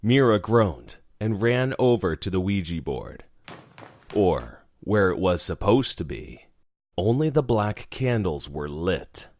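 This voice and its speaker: A man's voice: sits low, darkish tone, dry recording, clean studio sound. A man narrating a scripted horror story as the narrator of an audio drama.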